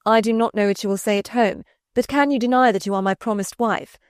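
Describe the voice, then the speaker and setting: A woman voicing an insistent, possessive gentleman suitor in a storybook reading, speaking formally and with emphasis. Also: mid-range voice, fairly even intonation, quick pace, clear, resonant tone, dry recording, studio quality, natural voice. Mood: challenging. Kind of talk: dramatised audiobook reading.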